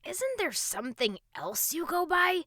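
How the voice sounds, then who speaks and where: A woman's voice: very high-pitched, big pitch swings, a little slow, sharp tone, dry recording, studio quality, voice pushed up, heavily acted. A woman voicing a sceptical, defiant young boy in a children's story, grumbling and mocking.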